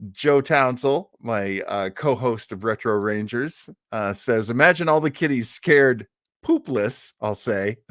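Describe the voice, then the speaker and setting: A man's voice: mid-range voice, dry recording, clean studio sound. An older man hosting a radio show, chatting casually with his listeners in a rambling, enthusiastic way. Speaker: radio show host and curator. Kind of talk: casual livestream chat with listeners.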